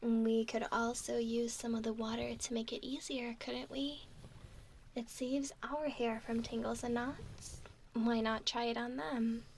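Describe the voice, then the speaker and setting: Casting: woman as a curious, doting mermaid for ASMR, gentle and playful, unhurried, higher-pitched with soft fry, often whispering. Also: little pitch movement, slight background noise. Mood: caring. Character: curious, affectionate young female mermaid named Valerie.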